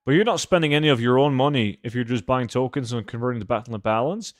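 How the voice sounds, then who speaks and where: A man's voice: medium pitch, quick pace. A man from Norway, chatting casually and bluntly as he livestreams a game, swearing freely. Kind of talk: casual livestream chat while gaming.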